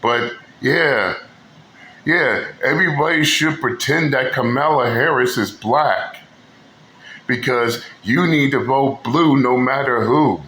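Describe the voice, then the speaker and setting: A man's voice: medium pitch, takes it slowly, sharp tone. A man hosting a commentary channel, talking bluntly and mockingly with profanity as he reacts to clips. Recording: echoey room with faint noise.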